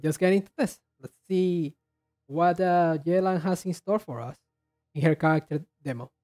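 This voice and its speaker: A man giving casual commentary as a reaction video host, rambling haltingly and often repeating and restarting his words. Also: a little high, darkish tone, faint music behind. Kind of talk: casual commentary on game trailers.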